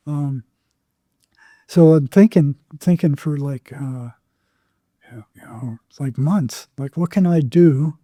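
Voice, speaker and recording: An older man speaks as a therapist being interviewed, recounting things conversationally. His voice sits a little high yet has a very mellow, muted tone. He halts and repeats words as he goes, and the recording is dry and close. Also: dry recording. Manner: halting, repeats words, acts out remembered exchanges. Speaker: psychotherapist guest.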